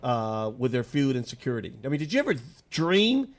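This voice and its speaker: A man's voice: medium pitch, very animated, fairly quick. A man hosting a radio talk show, a bit nasal from allergies, asking long rambling questions in a casual, upbeat way. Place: radio studio.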